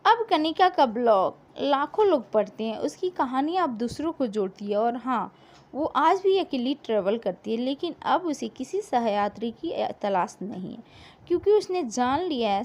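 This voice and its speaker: Female narrator, high voice with very lively intonation, dramatic scripted storytelling, emotive and measured with pauses.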